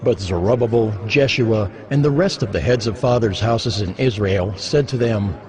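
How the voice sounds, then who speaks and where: A man narrating scripture as a scripted dramatized reading, solemn and expressive in his storytelling. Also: lowish voice, normal speed, a crowd in the background. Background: music.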